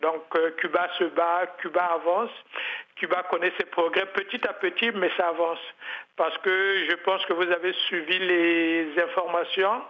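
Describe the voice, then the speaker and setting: A lo-fi, muffled call line carries a man's high voice. He answers radio interview questions earnestly, in measured phrases. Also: level tone. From Congo-Brazzaville. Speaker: interview guest speaking from Havana. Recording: dull lo-fi remote call line.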